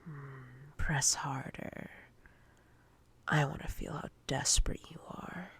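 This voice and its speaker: Woman acting a slow, whispering, domineering female boss. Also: extremely low pitch, fairly even intonation, fairly bright tone, heavily acted. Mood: menacing, controlling. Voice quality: breathy, husky whisper. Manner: slow, deliberate, commanding, condescending. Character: domineering, menacing female corporate boss toying with a subordinate. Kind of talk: roleplay ASMR, whispered and breathy.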